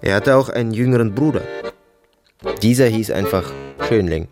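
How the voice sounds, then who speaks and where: Low-voiced brooding man played by an actor. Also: normal speed, over loud music, natural voice. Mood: detached, evasive. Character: brooding, detached male narrator recounting violence and family. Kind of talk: audio drama monologue over music.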